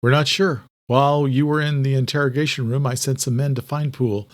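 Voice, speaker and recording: A man's voice: medium pitch, fairly even intonation, fairly quick, natural voice. Man acting a composed, serious investigator. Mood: matter-of-fact.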